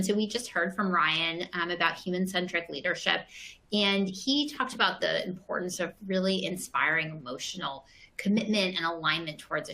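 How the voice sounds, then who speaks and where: A woman's voice: on the low side, bright, forceful tone, poor audio. A woman moderating a panel discussion, easygoing and full of fillers.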